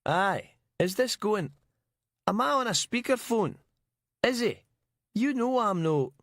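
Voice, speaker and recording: A man's voice: high voice, animated, slow, fairly bright tone, no echo, clean studio sound, pitched above the performer's own voice, exaggerated voice. A man voicing a brash, combative male reporter with a broad Glaswegian accent in an audiobook, mouthy and indignant. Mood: wary. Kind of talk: audiobook character acting.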